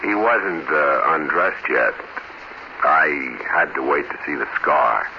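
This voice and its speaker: Low-voiced, sinister alien husband. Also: male voice, expressive, gravelly, heavily acted. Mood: matter-of-fact. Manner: measured, insistent, quietly menacing. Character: calm, sinister alien impostor posing as a husband. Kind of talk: 1950s radio drama acting. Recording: dull, noisy old radio recording.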